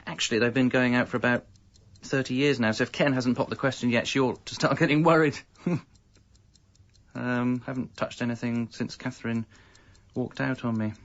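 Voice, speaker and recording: A man's voice: medium pitch, steady tone, normal speed, no room sound, dull audio, natural voice. An actor in his thirties with an English accent playing a wry, self-pitying separated father in a radio comedy drama. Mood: awkward. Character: separated young father, wry, lonely and exasperated. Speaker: lead character and narrator. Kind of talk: radio comedy drama, wry first-person narration and dialogue.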